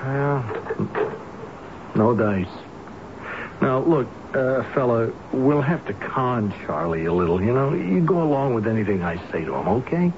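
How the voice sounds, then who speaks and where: A man playing a soft-hearted, flustered building worker in a radio drama, hesitant and stammering in colloquial speech. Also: mid-range voice, animated, measured pace. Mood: flustered, placating. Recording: noisy, muffled old radio broadcast recording.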